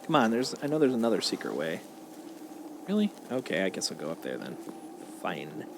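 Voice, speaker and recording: A man's voice: fairly low pitch, huge pitch swings, brisk, creaky. A playful, goofy man chatting casually as he streams a game, often riffing in a sing-song way. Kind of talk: casual gameplay chat. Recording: loud game noise behind. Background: video game sound effects.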